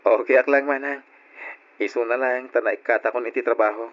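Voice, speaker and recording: Male actor as devoted grown son, gentle, placating, earnest, weary with duty, old radio drama, muffled lo-fi recording. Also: medium pitch, fairly even intonation, fairly quick, lightly acted. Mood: earnest, resigned. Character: devoted grown son caring for ailing parents, patient, burdened. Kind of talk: old-time radio drama acting in Ilocano.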